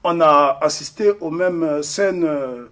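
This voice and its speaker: A man's voice: fairly high pitch, takes it slowly, fairly bright tone. A man from the Republic of the Congo, speaking as an interview guest in an earnest, emphatic way with frequent hesitations. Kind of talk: interview discussion.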